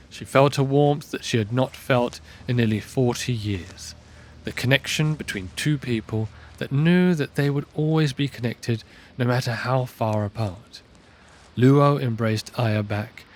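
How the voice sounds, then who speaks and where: A man narrating a scripted fantasy audio drama in a measured storytelling manner. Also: medium pitch.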